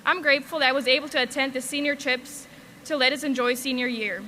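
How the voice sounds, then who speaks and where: Young woman from Switzerland, valedictorian, earnest and wry, high and bright. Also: fairly even intonation, fast, a little hiss or hum. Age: young adult. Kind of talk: graduation speech.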